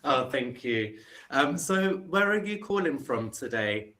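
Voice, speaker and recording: A man with a London accent chats on a video call in a friendly, encouraging way. His voice sits at an ordinary middle pitch. He keeps the talk going with warm follow-up questions. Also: very animated, a little slow. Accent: London English. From England. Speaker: online English tutor. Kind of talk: casual video call chat. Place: home in the UK.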